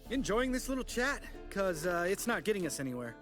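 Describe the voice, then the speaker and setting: An actor playing a cynical, abrasive warrior in a fantasy game, sarcastic and brash. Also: male voice, high voice, very animated, fairly quick, over music. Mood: brash, impatient. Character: cynical, abrasive younger male witcher warrior.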